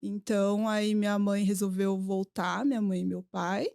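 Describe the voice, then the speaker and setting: A woman's voice: mid-range voice, flat delivery, normal speed, gravelly, darkish tone, dry recording, clean studio sound. A woman who grew up between Brazil and Japan, a podcast guest telling stories in a hesitant, laughing way. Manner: storytelling, hesitant, laughs often. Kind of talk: casual conversational interview.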